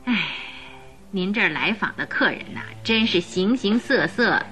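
Actress as fussy landlady, chatty, swooping intonation, mid-pitched, radio play, faint music. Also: moderate pace, close to an everyday voice. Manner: chatty, expressive. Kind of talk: radio drama acting.

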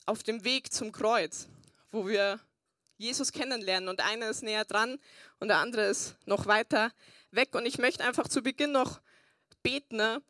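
A woman's voice: fairly high pitch, fairly bright tone, no echo, clean studio sound. Woman pastor preaching. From Bavaria, Germany. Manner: earnest, frequent fillers. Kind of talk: sermon with personal testimony.

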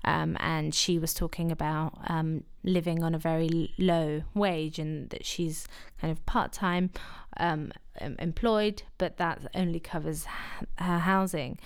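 A young woman from Afghanistan answering interview questions in a measured way, often pausing with fillers and restarting words. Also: low voice, fairly even intonation, smooth.

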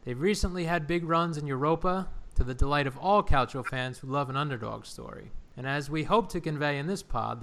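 A man hosting a casual sports podcast, enthusiastic and quick to laugh as he introduces and questions his guests. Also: on the high side, fairly bright tone. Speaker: podcast host.

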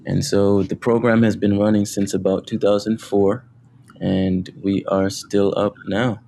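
A man speaking as a guest on a podcast, talking humbly about his work with many pauses and fillers. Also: lowish voice, level tone, creaky, mellow, slight background noise. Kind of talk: casual interview.

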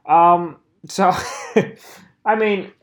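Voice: medium pitch, extremely slow, highs cut off. A vlogger ranting casually to camera, swearing and joking in a mock-angry way.

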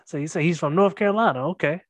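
A man's voice: fairly high pitch, fast, no echo. A man hosting a podcast, interviewing a comedian guest with many ums and uhs. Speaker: podcast host.

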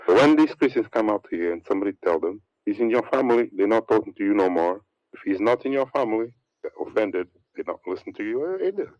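Deep-voiced man on a preachy rant. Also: lively intonation, fast talker, darkish tone, dry recording, poor audio. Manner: preachy, rambling, repeats words, mocks others in a put-on high voice. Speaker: religious commentator. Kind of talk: heated monologue.

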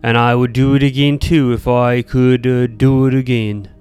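A man acting out a boy with thick fake British accent, taunting, brash, raised pitch, storybook villain, music behind. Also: flat delivery, moderate pace, darkish tone, clear, resonant tone, natural voice. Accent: put-on British. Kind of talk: storytelling character voice.

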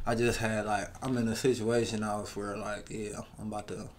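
A soft-voiced, raspy man from Cleveland, a rapper as guest, chatting in a laid-back, slangy way full of "like". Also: on the low side, flat delivery, normal speed. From Cleveland, Ohio. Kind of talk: casual interview chat.

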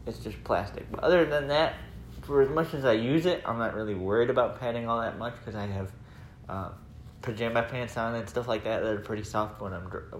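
A man's voice: medium pitch, slight background noise. Chatty American man, casual podcast diary. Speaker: podcast host. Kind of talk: casual personal audio diary. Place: indoors at home, room with laminate floor.

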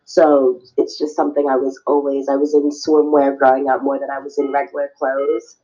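A woman's voice: a bass voice, little pitch movement, moderate pace, warm tone. A young woman raised in Cameroon and California, answering interview questions in a loose, rambling way with many fillers. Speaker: guest, swimwear business owner. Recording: dull lo-fi recording.

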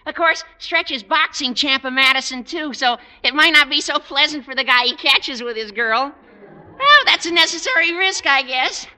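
An actor playing an excitable, cowardly teenage schoolboy with a squeaky, cracking voice in a vintage radio comedy. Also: male voice, moderate pace, heavily acted. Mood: helpful. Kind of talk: 1940s-50s radio comedy acting. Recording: noisy old radio hall, audience behind.

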